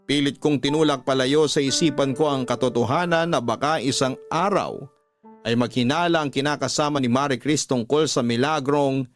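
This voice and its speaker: A man's voice: mid-range voice, normal speed, soft background music, lightly acted. A man acting a remorseful lover who narrates his confession, with a Filipino accent, in a radio drama. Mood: confessional. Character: remorseful male letter sender confessing his affair.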